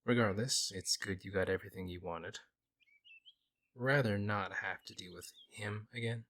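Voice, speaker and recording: A man's voice: lowish voice, normal speed, fairly bright tone, a little hiss or hum, close to an everyday voice. A man playing a friendly, teasing, protective werewolf in an ASMR audio roleplay, talking casually and playfully to the listener. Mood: easygoing, friendly.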